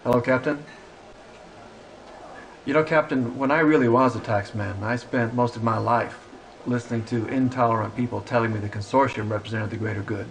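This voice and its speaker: A man's voice: mid-range voice, steady tone, brisk, gravelly, natural voice, rough recording. An actor playing a mild, rueful male clerk turned soldier, wry and rambling, in a video game cutscene.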